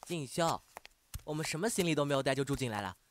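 A man's voice: mid-range voice, lively intonation, quick pace, a little hiss or hum, lightly acted. A man playing a nagging, thrifty, domestic young man in an audio drama. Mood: scolding. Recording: loud background music.

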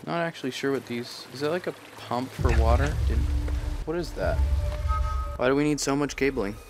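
A man's voice: mid-range voice, moderate pace, over music. A man casually analyzing a video game as he plays, on a livestream, chatty and digressive, thinking aloud. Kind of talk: casual commentary over gameplay. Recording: noisy game stream.

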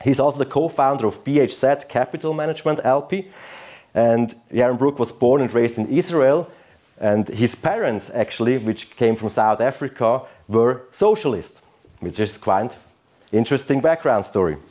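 A man in his thirties introducing a guest speaker to an audience over a handheld microphone, formal and slightly halting. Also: medium pitch, mellow. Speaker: event host. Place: lecture room.

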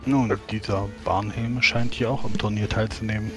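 Man playing a courteous, measured adventurer. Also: on the low side, steady tone, faint music behind, close to an everyday voice. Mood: pensive, courteous. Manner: measured, polite, formal.

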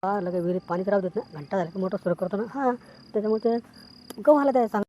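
A woman's voice: medium pitch, very fast, dark tone, lightly acted. A woman voicing a coaxing, nosy village man in an amateur cartoon comedy, insistent and repetitive, with a rural Maharashtrian accent. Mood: admiring, chatty. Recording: noticeable background noise.

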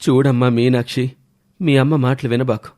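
A man acts out a stern older village father in a cartoon moral tale. He speaks at a middling pitch, advising and admonishing. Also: steady tone, normal speed, warm tone, natural voice. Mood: reproachful. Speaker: narrator and character voices. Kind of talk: scripted cartoon story narration and dialogue.

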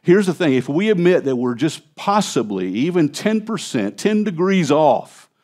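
A man's voice: on the high side, moderate pace. A man in his fifties, a pastor preaching an expository sermon in a conversational way from a church stage, on a headset mic. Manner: conversational preaching, animated gestures at a lectern. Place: church stage, large indoor hall.

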